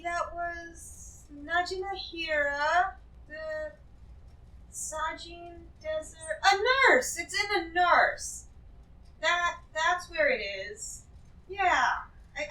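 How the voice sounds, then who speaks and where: A woman's voice: very high-pitched, drawn-out words, sharp tone, exaggerated voice. A woman playing a greedy, shrewd treasure-hoarder in a fantasy role-play, rambling and emphatic as she justifies herself. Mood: evasive. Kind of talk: improvised tabletop fantasy role-play acting. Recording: echoey room, distant from the mic.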